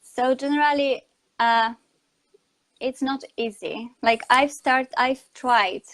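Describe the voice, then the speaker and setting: High-voiced Polish woman being interviewed. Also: takes it slowly, a bit bright. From Poland. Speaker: interview guest, communication coach and online educator. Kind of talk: conversational interview answers.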